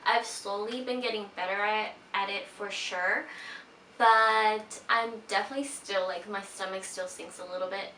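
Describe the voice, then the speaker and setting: A woman's voice: medium pitch, fairly even intonation, normal speed, sharp tone, slight background noise. A woman in her twenties from Peru, a native Spanish speaker, chatting casually to her viewers in a sit-down vlog, with lots of "like" fillers and giggly asides. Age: 20s. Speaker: vlogger. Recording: roomy echo, voice a bit far from mic.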